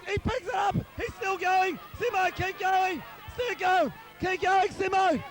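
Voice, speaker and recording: Male Australian amateur footy caller, extremely high strained pitch, wildly excited and one-eyed, yells names over and over. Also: unhurried. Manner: shouty, wildly excited, repeats names and calls. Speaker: amateur football commentator. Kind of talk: excited partisan live sports commentary.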